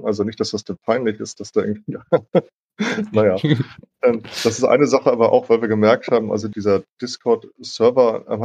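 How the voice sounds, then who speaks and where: A man's voice: on the low side, a little mellow, husky. A man answering informally as an interview guest, in a rambling, self-deprecating way. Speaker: interview guest, digital humanities academic. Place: remote online call.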